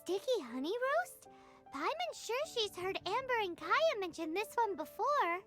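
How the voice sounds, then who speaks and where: A woman voicing a tiny, childlike fairy companion, blunt and excitable, in an anime-style video game. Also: very high voice, normal speed, full character voice. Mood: curious, doubtful. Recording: children's game music behind.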